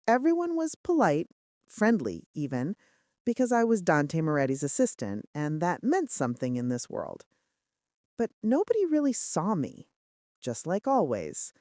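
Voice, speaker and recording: A woman's voice: on the low side, wildly swooping pitch, measured pace, darkish tone, no echo, studio quality. A woman reading scripted first-person romance fiction as an audiobook narrator, with dramatic, suspenseful delivery.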